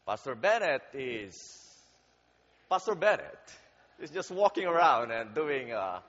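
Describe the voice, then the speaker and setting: Slow-speaking man preaching in a church. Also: medium pitch, a bit bright. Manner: slow, deliberate, halting delivery with fillers. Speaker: guest preacher. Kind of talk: sermon with prayer and storytelling. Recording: dull sound with long hall reverb.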